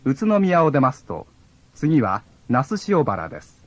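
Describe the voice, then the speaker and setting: A man's voice: mid-range voice, huge pitch swings, fairly quick, no echo. Lilting male train announcer on dull tape. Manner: formal, polite delivery. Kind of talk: scripted onboard train announcement. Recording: dull lo-fi old cassette tape.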